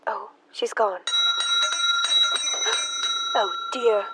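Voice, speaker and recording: A woman's voice: mid-range voice, fairly even intonation, very slow, slightly rough, exaggerated voice. A young woman acting a nervous, fretful, genteel spinster in an audio stage play, flustered and easily agitated. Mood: flustered, anxious. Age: young adult.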